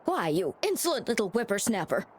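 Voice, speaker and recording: A woman's voice: a little high, big pitch swings, fairly quick, a little hiss or hum. A woman playing a bossy, scolding old woman in a video game, blustering and fiercely protective. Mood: indignant.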